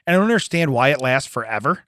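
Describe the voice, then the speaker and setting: A man in his late forties, co-hosting a casual podcast chat, animated and laughing often as he acts out little scenes. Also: medium pitch, fast, dry recording, studio quality. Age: 40s. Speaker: podcast co-host.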